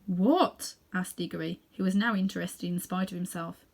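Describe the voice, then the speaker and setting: A woman's voice: on the low side, little pitch movement, a little fast, creaky. Woman reading a children's story aloud. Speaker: storybook reader. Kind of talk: expressive scripted narration for children.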